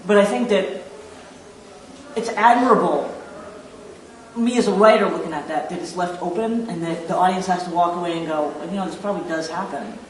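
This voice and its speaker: A man's voice: high-pitched, reverberant, recorded from a distance, slight background noise, low-quality recording. A man talking casually and reflectively in an interview as a playwright.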